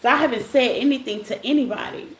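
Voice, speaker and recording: A woman with a scratchy, rough voice hosts a casual tarot livestream, greeting viewers by name in a loose, chatty way. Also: sits high, fast. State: voice rough after a silent morning. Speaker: tarot reader hosting a live stream. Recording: echoey room with faint noise.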